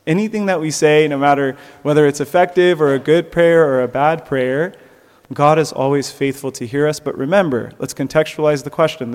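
Preacher, male, mid-pitched, earnest delivery with emphatic peaks, echoing church hall. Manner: earnest, rising to emphatic peaks, with light laughs. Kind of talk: sermon.

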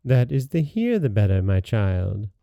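A sly, hungry wolf from a fairy tale, played by a man reading aloud. He coaxes with feigned sweetness in a very mellow voice that often lifts lightly. The sound is close and dry. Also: on the low side, sing-song, measured pace, vocal fry, dry recording, heavily acted. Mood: coaxing. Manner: coaxing, feigned gentleness.

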